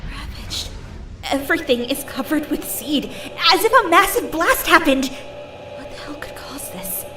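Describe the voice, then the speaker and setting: A woman voicing a determined, intense female mission leader in a video game cutscene, often breathy. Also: expressive, a little slow, heavily acted. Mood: troubled. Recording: loud low hum behind voice.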